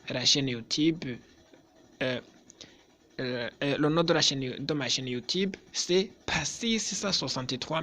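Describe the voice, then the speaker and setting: Male vlogger, non-native French speaker, slow and hesitant, repeats himself. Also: on the high side, a bit bright. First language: not French. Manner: slow, deliberate, repetitive, with hesitations. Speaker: YouTuber. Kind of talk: vlog address to subscribers.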